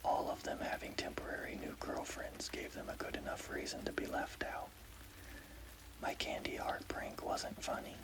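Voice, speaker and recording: A man narrating a scary story as ASMR in a breathy, unvoiced whisper. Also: poor audio.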